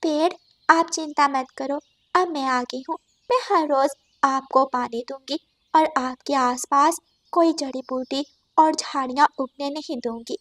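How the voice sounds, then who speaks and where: A woman's voice: high-pitched, a little slow, fairly bright tone, no echo. A woman voicing a kind, caring mother bird in a cartoon story, speaking politely and earnestly. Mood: reassuring.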